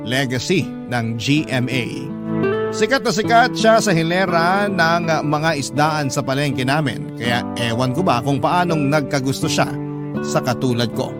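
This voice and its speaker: A man's voice: fairly high pitch, animated, unhurried. A man reading a listener's love story aloud as scripted first-person narration. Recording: loud guitar music under voice. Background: guitar music.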